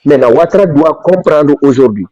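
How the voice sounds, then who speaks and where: A man's voice: fairly high pitch, lively intonation, brisk, mellow, no room sound. A man from Senegal, giving an impassioned political speech with fervent, repetitive emphasis. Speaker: pan-African activist speaker. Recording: dull dry lo-fi recording.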